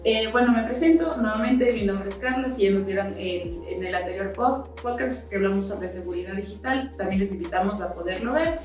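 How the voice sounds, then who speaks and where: A woman's voice: medium pitch, brisk, hardly any pauses, a little mellow. A woman co-hosting a podcast, talking loosely with many fillers and restarts. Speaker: podcast co-host. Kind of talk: casual podcast chat. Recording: echoey room, distant mic, faint music.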